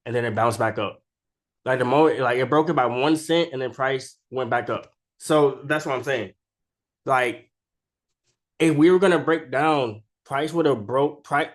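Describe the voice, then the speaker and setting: Man with a cold, casual chart talk. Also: medium pitch. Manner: informal and slangy, keeps tagging statements with "right?". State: sick with a cold. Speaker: stock trading video creator. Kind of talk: casual unscripted chart commentary.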